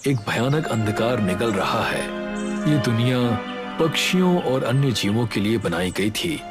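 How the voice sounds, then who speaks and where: A man playing a wise, reassuring magical talking tree in a cartoon story, solemn and measured. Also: medium pitch, expressive, natural voice. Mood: grave. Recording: loud music over the voice. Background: loud background music.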